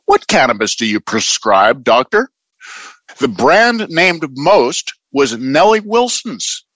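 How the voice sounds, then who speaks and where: A man's voice: mid-range voice, sing-song, fairly bright tone, no echo, exaggerated voice. A man playing an earnest old-time radio announcer, reading a parody commercial in a salesmanlike manner. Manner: salesmanlike, deliberate.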